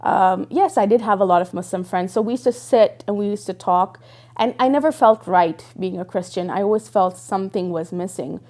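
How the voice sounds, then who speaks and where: A woman's voice: medium pitch, brisk, mellow. A young woman raised in Dubai, telling her personal story earnestly and reflectively, with frequent fillers and restarts. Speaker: interviewee. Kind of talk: personal testimony.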